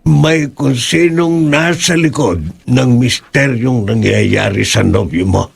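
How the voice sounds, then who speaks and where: An actor playing a concerned older father, a man with a raspy voice and a serious, hesitant manner. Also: medium pitch, slow pace, full character voice. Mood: suspicious. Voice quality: gravelly, raspy. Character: concerned, gentle older father of grown daughter.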